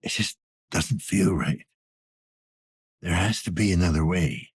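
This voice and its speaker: A man playing a weary, gruff older police detective in a cyberpunk video game, speaking tersely in a low-key, world-weary way. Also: unhurried, dry recording, studio quality, full character voice. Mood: weary, tense. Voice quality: husky, gravelly.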